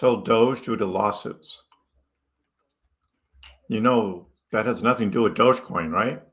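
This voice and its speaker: Chatty bright-voiced man hosting a livestream. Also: fairly low pitch, unhurried. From Chicago, United States. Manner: chatty, greets viewers by name, laughs often. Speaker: crypto livestream host. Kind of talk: casual live chat reading viewer comments.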